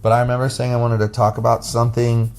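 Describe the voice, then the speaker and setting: A man hosting a casual podcast with his wife, talking in a deep, bassy voice and rambling loosely with restarts and fillers. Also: monotone, quick pace, a little mellow. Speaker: podcast host. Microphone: phone app recording.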